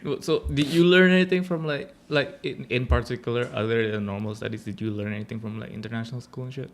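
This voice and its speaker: A young man hosting a casual podcast, animated and heavy on swearing. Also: medium pitch, fast talker. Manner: animated, swears a lot, ranting. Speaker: podcast host.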